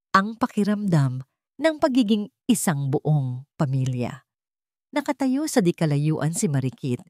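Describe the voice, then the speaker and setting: Low-voiced woman narrating drama. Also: a little mellow, no echo, studio quality. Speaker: storyteller.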